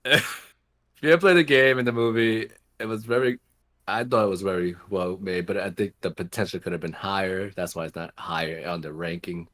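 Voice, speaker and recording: A man's voice: sits low, fairly even intonation, normal speed, fairly bright tone. A man who is sick, co-hosting a podcast in giggly, casual chat. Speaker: podcast co-host.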